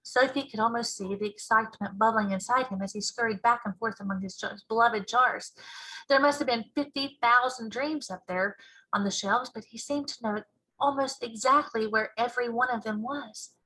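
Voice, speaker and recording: A woman reads a children's story aloud in a teacher's narration. Her voice sits fairly high, bright and forceful, with a husky grain. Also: moderate pace, low-quality recording.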